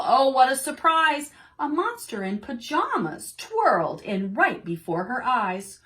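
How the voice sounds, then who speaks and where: A woman's voice: medium pitch, a bit bright. A woman leading storytime for preschoolers, speaking in an encouraging singsong with short, simple phrases. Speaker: library storytime leader for preschoolers. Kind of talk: children's storytime reading and guided action song.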